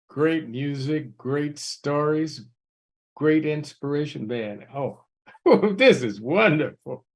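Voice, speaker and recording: Effusive male host, husky and gravelly. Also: medium pitch, a little mellow. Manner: enthusiastic, effusive praise. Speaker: talk show host. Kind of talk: interview hosting.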